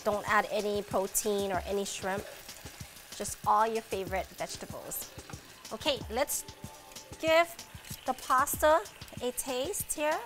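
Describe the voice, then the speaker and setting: A woman's voice: medium pitch, unhurried, long pauses, a bit bright. A woman in her thirties hosting a cooking demonstration in a kitchen, talking in an upbeat, chatty, encouraging way. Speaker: cooking show host and restaurant owner. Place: home kitchen, indoors. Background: background music and sizzling pan.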